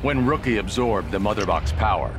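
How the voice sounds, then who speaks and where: An actor voicing a brooding masked detective hero in a video game, speaking tersely. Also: male voice, fairly low pitch, animated, a little fast, background noise, natural voice. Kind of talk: video game cutscene voice acting.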